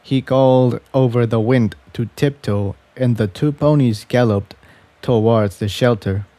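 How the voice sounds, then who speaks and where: A man narrating a fan fiction story in a measured, scripted reading style. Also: medium pitch, warm tone, no echo.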